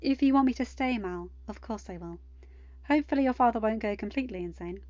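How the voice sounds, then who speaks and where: A woman's voice: on the high side, fast, a little mellow, no room sound, lo-fi sound, natural voice. A woman voicing an imperious, protective goddess mother in a storybook, speaking with emphatic, threatening formality. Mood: gracious.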